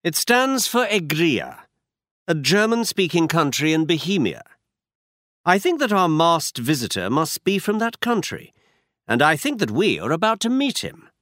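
A confident male detective, voiced by a man narrating, brisk and instructive, always a step ahead. His lines sit high and leap about in pitch. The sound is dry and up close. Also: very animated, fairly bright tone, no room sound. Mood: assured.

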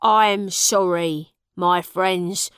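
A harsh, bullying thief of a father, played by a female narrator, barks slow, loud orders. The low voice stays smooth, dry and close to the mic. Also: fairly even intonation, no room sound, heavily acted. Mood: gruff commanding. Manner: loud, gruff commands.

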